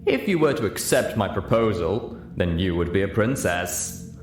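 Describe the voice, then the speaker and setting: A man's voice: low-pitched, expressive, moderate pace, music in the background. A man voicing a vain, haughty elderly unicorn stallion prince with a pompous manner in an audiobook story. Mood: haughty, offended. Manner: pompous, self-admiring. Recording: roomy echo, clear background hiss.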